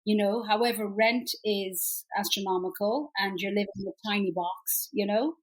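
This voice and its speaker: A woman in her forties, chatting as an interview guest. She tells her stories freely, with plenty of fillers and restarts, in a voice of middling pitch. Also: moderate pace, low-quality recording. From Ireland. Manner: chatty, warm storytelling with frequent fillers and restarts. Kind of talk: casual interview chat.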